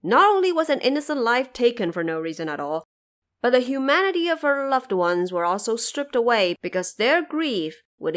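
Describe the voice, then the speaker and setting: Expressive woman with a lively range. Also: mid-range voice. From Cantonese-speaking region. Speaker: podcast host and producer. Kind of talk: scripted commentary narration.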